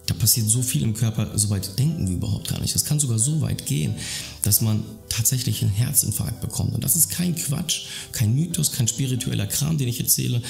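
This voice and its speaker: A man in his thirties with a deeply gravelly, fry-heavy voice talks straight to the camera from a dim room at home. He speaks earnestly, circling back with restarts and fillers as he explains. Faint music plays quietly underneath. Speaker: YouTuber talking about mental health. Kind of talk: casual explanatory monologue to camera.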